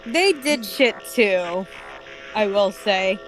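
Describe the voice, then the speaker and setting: A woman's voice: mid-range voice, animated, slow, faint music behind, poor audio. A woman chatting casually and informally, swearing now and then. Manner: profane, informal. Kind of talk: casual chat.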